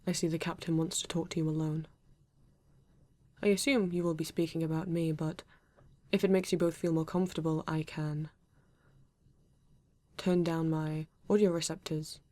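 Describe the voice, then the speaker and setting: A woman voicing a polite, formal male android detective in an ASMR roleplay, with a British accent and stiff, measured phrasing. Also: sits low, steady tone, warm tone, dry recording, lightly acted.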